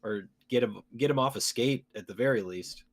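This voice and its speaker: A man's voice: medium pitch, normal speed. A man hosting a gaming podcast, talking casually and conversationally with his co-hosts. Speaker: podcast host.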